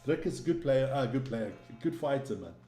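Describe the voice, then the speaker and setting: A man's voice: medium pitch, expressive, brisk, music in the background. Chatty South African man in an echoey room. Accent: South African English. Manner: rambling, chatty, reading viewer comments aloud. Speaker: livestream host. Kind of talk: casual live-stream commentary.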